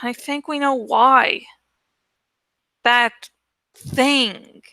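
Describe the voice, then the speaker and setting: Woman as a sharp-tongued, high-voiced anxious teenage boy. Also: fairly even intonation, extremely slow, no echo, full character voice, poor audio. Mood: tense.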